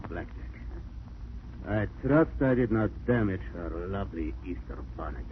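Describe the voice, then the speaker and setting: An actor playing a suave, menacing criminal ringleader in 1940s radio drama, mockingly courteous and formal. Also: male voice, deep voice, lively intonation, moderate pace. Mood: coolly sardonic. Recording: scratchy, narrow-band 1940s radio recording.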